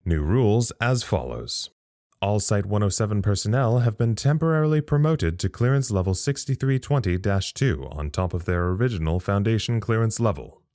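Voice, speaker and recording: A man playing a thoughtful, uneasy log-keeper at a secret research site in an audio drama, measured and confiding. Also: lowish voice, animated, darkish tone, no echo, clean studio sound. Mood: official, measured. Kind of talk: audio drama monologue as a recorded incident log.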